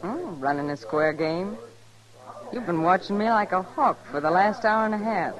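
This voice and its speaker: A woman's voice: mid-range voice, lively intonation, normal speed, lightly acted, rough recording. A woman playing a cool, bold young card dealer in a radio western, self-possessed and challenging. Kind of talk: old-time radio western acting. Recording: old radio recording, saloon crowd behind.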